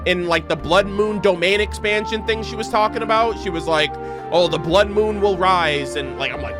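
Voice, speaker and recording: A young man giving casual, slangy, sweary gaming reaction commentary as a streamer on a close mic. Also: on the high side. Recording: game music playing behind the voice.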